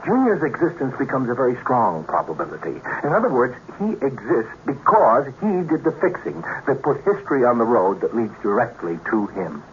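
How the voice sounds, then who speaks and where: A man's voice: medium pitch, sing-song, fairly quick, few pauses. A man playing an earnest, harried young lover who explains and pleads, with an American accent, in a 1950s radio drama. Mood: earnest, reasoning. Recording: muffled, noisy 1950s radio recording.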